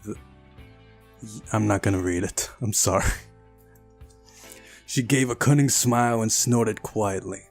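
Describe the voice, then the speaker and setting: A man's voice: lowish voice, measured pace, vocal fry, pauses often, soft background music. A man hosting a visual novel playthrough, reading the text aloud and adding incredulous, exasperated asides. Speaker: video game playthrough host. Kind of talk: visual novel let's play, reading and reacting.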